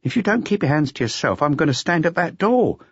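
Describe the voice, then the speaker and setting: Motherly café waitress, mid-thirties, brisk and scolding, put on by a male reader in a raised voice. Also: a little high, big pitch swings, slightly rough, no room sound, muffled recording, voice pushed up, exaggerated voice. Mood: brisk, chiding. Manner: brisk, fending off, chatty. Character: buxom motherly waitress, woman about thirty-five.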